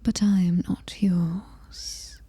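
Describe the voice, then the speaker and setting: A British woman playing a coldly superior, menacing alien-human hybrid in a whispered ASMR horror roleplay, breathy, deliberate and taunting. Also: on the low side, flat delivery, warm tone, heavily acted. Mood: haughty, menacing.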